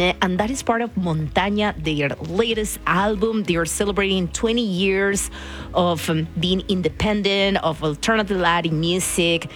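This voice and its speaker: A woman from Argentina with an Argentine Spanish accent, chatting casually as a radio DJ and slipping into Spanish words. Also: mid-range voice.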